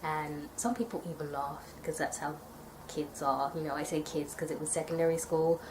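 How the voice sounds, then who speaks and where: A young woman from the UK chatting casually to camera in a tag video, her nose stuffy from a cold and her voice a little raspy. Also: low-pitched, steady tone, normal speed, background noise. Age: young adult. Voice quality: slightly raspy. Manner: chatty, thoughtful, rambling with fillers. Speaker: vlogger.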